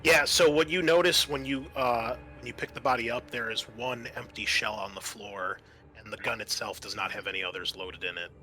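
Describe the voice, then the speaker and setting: Bright-voiced man narrating a tabletop game. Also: medium pitch. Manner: conversational, improvised, halting narration. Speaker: game master.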